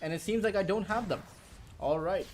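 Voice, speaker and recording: A man who speaks Urdu as his first language, casting a match alone, with rambling asides between quick play calls. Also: sits high, slight background noise. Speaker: esports caster. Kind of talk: solo play-by-play commentary.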